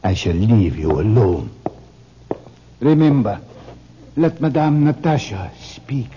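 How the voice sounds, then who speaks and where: An actor playing a solemn, eerie manservant in a 1950s radio drama, giving formal, deliberate instructions. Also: male voice, fairly low pitch, animated. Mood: solemn, ominous. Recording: muffled, noisy old radio recording with clicks.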